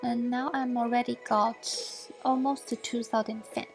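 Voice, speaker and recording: Young woman, Chinese accent, native Mandarin, halting non-native English, higher voice, monotone, faint music behind. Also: vocal fry. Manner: halting, with frequent fillers and soft, partly whispered stretches. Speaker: student presenting her work. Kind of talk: recorded assessment presentation. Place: at home. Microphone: basic home equipment.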